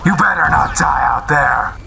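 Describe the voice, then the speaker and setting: A man playing a frantic soldier sergeant in a military sci-fi audio drama, shouting urgent battlefield calls. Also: fairly high pitch, a little slow, vocal fry, slight background noise, full character voice. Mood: urgent, desperate. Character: frantic male sergeant trooper shouting in a firefight.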